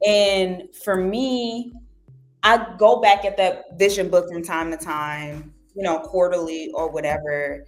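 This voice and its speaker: Young woman podcast guest, animated and chatty, acts out self-talk, faint music behind. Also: fairly low pitch, slow pace. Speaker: guest journalist. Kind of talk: casual podcast conversation.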